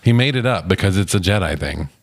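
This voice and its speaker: A man's voice: low-pitched, lively intonation, fast, no room sound. A man co-hosting a casual movie discussion, swearing freely and often chuckling. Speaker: podcast co-host reviewing films.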